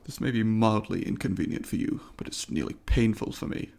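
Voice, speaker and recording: A man's voice: on the low side, a little fast, gravelly, a little mellow. A man voicing a polite, eager alien student in an ASMR roleplay, speaking formally and precisely to the listener in an inquisitive, apologetic way. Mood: polite, curious.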